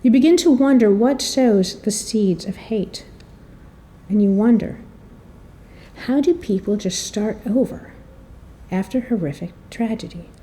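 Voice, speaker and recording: A woman introduces a speaker at a public presentation. Her voice is mellow and dark-toned, and she delivers her prepared speech like a story, theatrically, with rhetorical questions and deliberate pauses. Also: medium pitch, animated, slight background noise. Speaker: editor and marketing director introducing an author.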